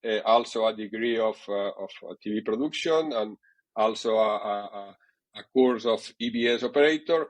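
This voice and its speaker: A middle-aged man from Catalonia with a Catalan Spanish accent, speaking English as a second language and answering interview questions haltingly, with many fillers and repeated words. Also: medium pitch. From Catalonia, Spain. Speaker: TV sports director, guest.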